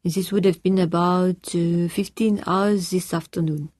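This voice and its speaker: A woman voicing a polite French male police officer who speaks slow, courteous English with a French accent. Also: lowish voice, a little mellow, no echo, clean studio sound.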